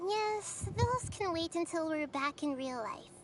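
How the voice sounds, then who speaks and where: A woman playing a gentle, wise, childlike girl deity in an anime video game, small-voiced, measured and articulate. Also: very high-pitched, lively intonation. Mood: patient. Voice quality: small, girlish. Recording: game stream with background noise.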